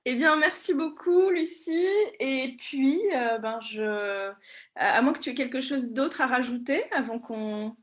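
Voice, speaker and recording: Casting: woman host with a high voice, friendly interviewer who laughs easily. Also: measured pace. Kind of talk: interview hosting.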